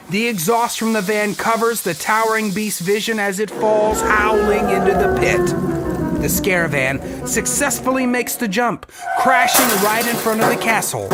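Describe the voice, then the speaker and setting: A man narrating an audio adventure with dry asides. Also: sits high. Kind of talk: scripted narration for an audio drama. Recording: loud music bed behind.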